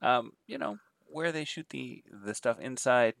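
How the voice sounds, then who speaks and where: A man's voice: mid-range voice, unhurried, vocal fry, a bit bright, no echo. A man with a cold and a nasal voice, giving a rambling, casual spoken review of films and listing titles with many fillers. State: has a cold. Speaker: adult film reviewer.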